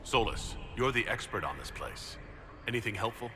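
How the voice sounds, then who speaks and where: A man's voice: fairly low pitch, lively intonation, moderate pace, sharp tone, faint music behind. A man voicing a resolute, sceptical party leader in a fantasy game, direct and questioning. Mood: decisive. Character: resolute, sceptical male hero leading the party.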